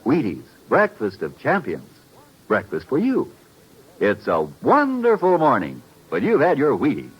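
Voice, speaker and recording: An American man pitches a product on vintage radio, his voice leaping up and down in exuberant, folksy patter. The tone is husky, and the old recording is dull and lo-fi. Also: wildly swooping pitch, a little slow. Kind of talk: 1950s radio advertising. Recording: dull, band-limited 1950s radio recording.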